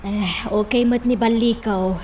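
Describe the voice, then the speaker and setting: A woman acting as a calm, reassuring confidante in an Ilocano radio drama, speaking with an Ilocano accent. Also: on the high side, measured pace, lightly acted. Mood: curious. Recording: faint background noise.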